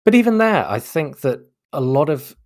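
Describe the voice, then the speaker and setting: A man's voice: fairly high pitch, sing-song, moderate pace, darkish tone, dry recording, clean studio sound. A man speaking as an interview guest, articulate and explanatory in a thoughtful conversational style. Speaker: interview guest, game narrative designer.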